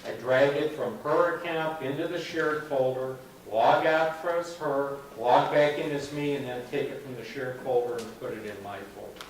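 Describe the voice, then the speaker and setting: A man walks a club audience through a computer demo, chatty and patient, checking in with a steady "okay." He sounds distant from the mic, with strong room echo and a faint hiss behind him. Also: mid-range voice, moderate pace, few pauses. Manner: chatty, explains step by step with frequent "okay". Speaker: club meeting presenter. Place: meeting room, heard from a distance. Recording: echoey room, far from the mic.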